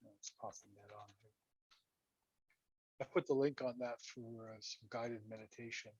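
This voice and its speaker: A husky, hoarse man hosts a relaxed online chat, stumbling and repeating words as he goes. His voice sits at a middling pitch and comes through a dull, muffled call line. The sound is close and dry, with no room around it. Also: dry recording. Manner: halting, repeats and restarts words. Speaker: host. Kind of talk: casual talk-show chat reading viewer comments.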